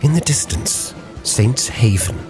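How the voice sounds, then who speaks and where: Guy doing a grave old-time sea captain telling his log, real slow and dramatic, music behind him. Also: mid-range voice, lively intonation. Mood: grave, suspenseful. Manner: solemn, theatrical, with weighty pauses. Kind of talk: dramatic scripted storytelling, ship's log in character.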